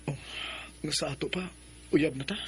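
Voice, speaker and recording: A man playing a gentle, earnest young man courting a woman in a radio drama. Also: on the high side, moderate pace, slight background noise. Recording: radio drama with music bed.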